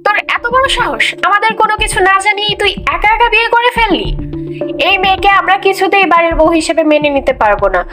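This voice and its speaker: A woman's voice: extremely high pitch, expressive, fast talker, sharp tone, faint music behind, full character voice. A woman voicing a scheming, hot-tempered middle-aged mother-in-law in a cartoon, scolding and indignant. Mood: outraged. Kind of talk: Bengali cartoon voice acting.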